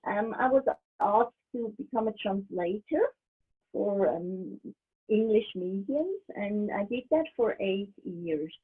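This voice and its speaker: A middle-aged woman whose native language is German, a medium speaking as an interview guest over a video call in a thoughtful, halting way, often restarting and laughing softly. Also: medium pitch, expressive, slightly rough. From Switzerland. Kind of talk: casual interview. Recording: tinny video call line.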